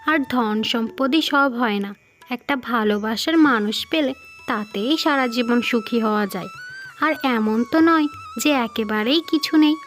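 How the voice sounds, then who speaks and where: A woman acts out an earnest village woman in a cartoon folktale. The voice is high-pitched and conversational, with a gentle manner. Music runs underneath. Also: moderate pace, natural voice. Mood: persuasive. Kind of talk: Bengali moral-story cartoon voice acting.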